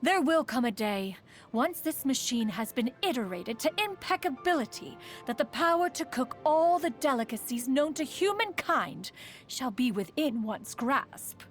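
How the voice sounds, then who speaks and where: A woman's voice: sits high, expressive, measured pace, soft background music. A woman playing a proud, aloof immortal adept who secretly cares, speaking in formal, archaic phrases as a video game character. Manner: haughty, archaic formal speech, refers to self as "one".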